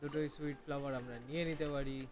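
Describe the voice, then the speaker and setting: A man with a Bengali accent hosts a gameplay video. He talks very fast in a casual, informal way, switching languages as he addresses viewers. Faint music runs behind his slightly high voice. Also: level tone. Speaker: gaming video host.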